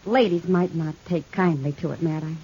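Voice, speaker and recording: An actress playing a frank, guarded but warm-hearted saloon hostess with an American accent and a husky voice in a 1950s radio western. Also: female voice, sits low, moderate pace. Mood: gently reluctant. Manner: dry, teasing, frank. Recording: muffled 1950s radio recording.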